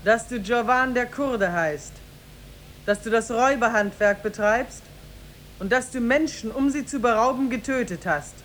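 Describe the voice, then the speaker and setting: An actress playing a stern, commanding woman who rules and judges as king, in an imperious, deliberate 1950s radio drama style. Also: on the high side, fairly bright tone. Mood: stern, judging. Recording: noisy 1950s radio recording.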